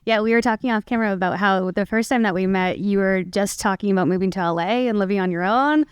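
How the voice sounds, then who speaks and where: An upbeat woman from Canada hosting a relaxed interview, quick to affirm with short replies. Her voice is clear and smooth. The sound is dry and close. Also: mid-range voice, dry recording. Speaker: radio interviewer. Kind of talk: casual interview chat.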